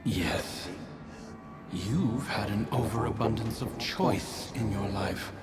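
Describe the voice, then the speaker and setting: Slow, low-voiced taunting phantom. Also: male voice, over loud music. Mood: mocking. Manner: mocking, unhurried, goading. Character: sly taunting male phantom, needling and cynical. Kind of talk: video game dialogue.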